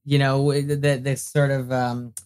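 A man's voice: medium pitch, level tone, moderate pace, darkish tone, smooth. A man hosting a podcast interview, asking questions in a rambling, hesitant way. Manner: rambling, hesitant phrasing with restarts. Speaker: podcast host. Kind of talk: casual interview.

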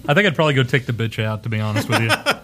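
A man's voice: medium pitch, very animated, rapid-fire, bright, forceful tone. A man on a podcast panel chatting casually, with a blunt, offhand, joking manner.

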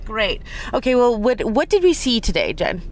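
A woman hosting a podcast from a parked car, chatting casually in a relaxed, hesitant way with a lot of laughter. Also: fairly high pitch, moderate pace. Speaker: podcast host. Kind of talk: casual chat.

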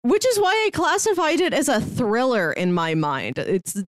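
A woman's voice: on the high side, wildly swooping pitch, moderate pace, dry recording, studio quality. A woman co-hosting a podcast, talking through films in a casual, conversational way. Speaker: podcast co-host. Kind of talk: casual film review chat.

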